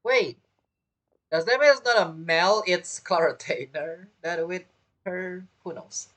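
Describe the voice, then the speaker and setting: A woman's voice: on the low side, takes it slowly, a bit bright. A woman giving casual let's-play commentary, musing with offhand reactions and guesses. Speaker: gamer commenting on a playthrough.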